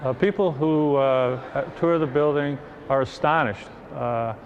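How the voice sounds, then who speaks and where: A man giving an unscripted, somewhat halting interview answer in a large hall, as an interviewee. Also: medium pitch. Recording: dull, long hall reverb, faint noise.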